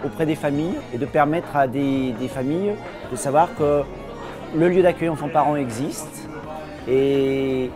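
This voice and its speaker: A man who represents a partner organisation, explaining its role carefully and a little haltingly in an interview. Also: a little high, over music.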